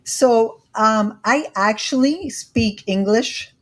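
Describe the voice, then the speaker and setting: A woman's voice: fairly high pitch, slow. A woman in her forties with a Miami accent, speaking earnestly as an interview guest, with frequent fillers. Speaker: interview guest, credit educator. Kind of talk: casual interview conversation.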